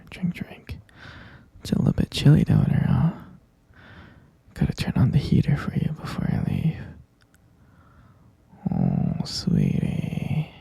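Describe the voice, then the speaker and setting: A man's voice: dark tone, no echo, full character voice. A man playing an obsessive, doting captor boyfriend in an intimate ASMR roleplay, murmuring and whispering in a soothing, possessive, cooing way. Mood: doting, coaxing. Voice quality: breathy whisper with low gravelly murmur. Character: obsessive, doting yandere boyfriend holding his lover captive.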